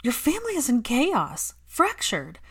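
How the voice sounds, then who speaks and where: An outspoken, indignant woman performed by a female reader argues with raw emotion in a dramatic story. Her pitch rides high and moves widely as she presses each point emphatically. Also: huge pitch swings, measured pace, breathy edge, full character voice. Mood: furious. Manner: emphatic, impassioned, argumentative.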